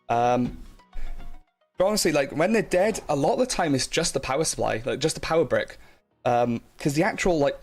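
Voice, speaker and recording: Young guy rambling on stream, loads of ums and likes, faint music under him. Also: mid-range voice. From Wales. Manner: rambling, chatty, lots of fillers and restarts, laughs often. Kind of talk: casual live stream chat.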